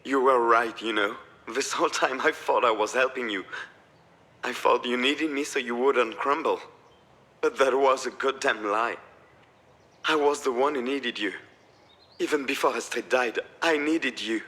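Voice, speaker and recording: A man's voice: medium pitch, a little slow, sharp tone. An actor playing a grieving, self-blaming father in a narrative video game, weary and wounded in his delivery. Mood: anguished, bitter. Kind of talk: acted visual novel dialogue.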